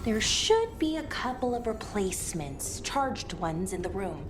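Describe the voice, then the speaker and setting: An actress voicing an earnest, gentle young female artifact expert in a video game, speaking conversationally with slight hesitancy. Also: mid-range voice, sing-song, a little slow, background noise. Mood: focused, earnest.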